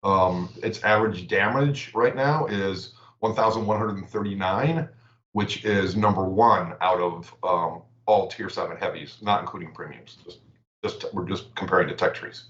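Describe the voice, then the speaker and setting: A low-voiced man talks in halting bursts, repeating words before he finds his point, then reads out numbers steadily. He sounds like he is in an echoey room. The audio is dull and lo-fi. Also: fairly bright tone. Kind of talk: casual livestream chat.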